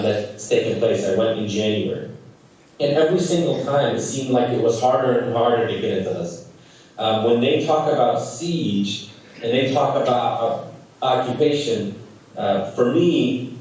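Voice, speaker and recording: A man from Chicago giving an earnest, measured personal testimony as a guest speaker over a video call, with frequent fillers. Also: mid-range voice, a little mellow. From Chicago, United States. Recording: very echoey, distant from the mic.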